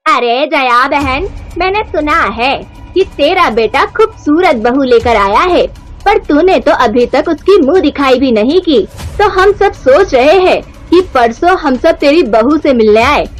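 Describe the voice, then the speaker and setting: A woman's voice: high voice, animated, unhurried, soft background music. A woman voicing a chatty, insistent middle-aged society lady, emphatic and gushing, in a melodramatic cartoon moral story. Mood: teasing, insistent.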